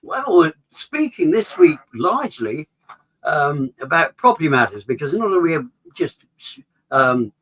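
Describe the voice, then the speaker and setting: A man explains a topic in a loose, conversational way. He rambles, restarts his sentences and leans on "sort of". His pitch leaps up now and then for emphasis. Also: sing-song, a little slow, fairly bright tone, low-quality recording. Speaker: association chair, regular guest.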